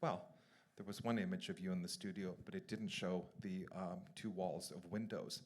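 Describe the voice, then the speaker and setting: A man's voice: low voice, steady tone, moderate pace. A man working as a curator, moderating a gallery conversation and explaining at length. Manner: discursive, explanatory. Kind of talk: moderating a public artist conversation. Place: gallery event space.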